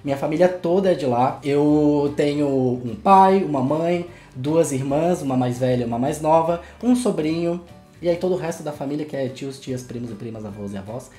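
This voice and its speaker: Young male vlogger with a Florianópolis accent worn toward São Paulo, chatty and self-mocking, mellow tone, roomy home recording with faint music. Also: on the high side, normal speed. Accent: Florianópolis Portuguese, softened toward São Paulo. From Florianópolis, southern Brazil. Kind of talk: casual chat to camera.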